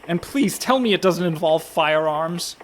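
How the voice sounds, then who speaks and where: An actor playing an anxious, protesting merchant sailor in a radio play, earnest and strained. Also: male voice, on the high side, expressive, a little slow. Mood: worried. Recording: faint background noise.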